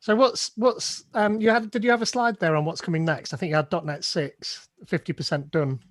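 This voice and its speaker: A man's voice: high voice, a little fast, dry recording, studio quality. A man hosting a meetup, asking questions in a chatty, friendly way. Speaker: meetup host. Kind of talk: casual Q&A hosting.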